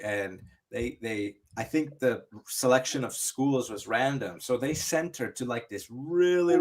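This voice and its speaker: Rambling man telling a long story. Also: mid-range voice. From United States. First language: English. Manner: rambling, many restarts and "you know". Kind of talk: casual chat.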